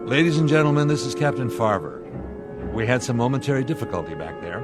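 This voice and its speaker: An actor playing a steady airline captain in a radio drama, authoritative and clipped in his orders. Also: medium pitch, fast, loud music behind the voice, close to an everyday voice. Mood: calm, reassuring.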